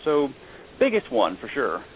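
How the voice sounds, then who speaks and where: A man's voice: medium pitch, huge pitch swings, measured pace, a little hiss or hum. Easygoing young man on a tinny phone line. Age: young adult. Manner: easygoing, joking, many fillers. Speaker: guest chef. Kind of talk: casual phone interview. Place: Atlanta.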